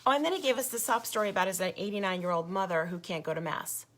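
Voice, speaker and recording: A woman hosting a casual livestream with a hoarse, failing voice, talking indignantly to her viewers. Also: medium pitch, expressive, fast. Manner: indignant, gets louder when riled up. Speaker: activist livestream host.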